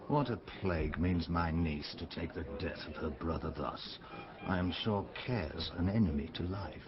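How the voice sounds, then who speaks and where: An actor playing a boisterous, hard-drinking older knight in a blustering, carefree manner in a Shakespeare radio drama. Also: male voice, sits low, normal speed, lo-fi sound, over music, natural voice. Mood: grumbling.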